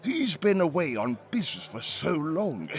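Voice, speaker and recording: An actor playing an effusive, flattering family friend at a party, gushing theatrically in an audio drama. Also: male voice, a little high, moderate pace, faint music behind. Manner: gushing, theatrical society talk.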